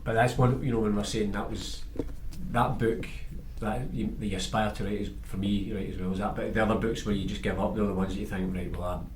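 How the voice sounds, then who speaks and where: A man's voice: lowish voice, fairly even intonation, brisk, reverberant, background noise. A man hosting a podcast, chatting casually in an interview. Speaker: podcast host.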